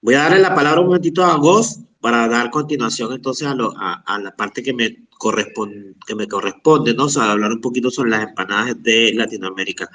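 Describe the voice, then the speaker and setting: Hesitant, bright-voiced man off-mic. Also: medium pitch, fairly quick, hardly any pauses, distant mic. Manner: hesitant, many fillers and restarts. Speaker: podcast host. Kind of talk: casual podcast chat.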